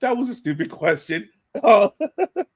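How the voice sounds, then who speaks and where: A man hosting a sports podcast, talking through a match in an animated, casual way and often checking his points with a quick "right?". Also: high voice. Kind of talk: casual match analysis chat.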